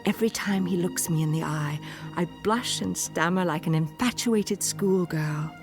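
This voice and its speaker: An actress playing a cool, self-possessed young countess in a radio drama, genteel and measured, with hesitant pauses. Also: female voice, fairly low pitch, over music, lightly acted. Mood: intimate, wistful.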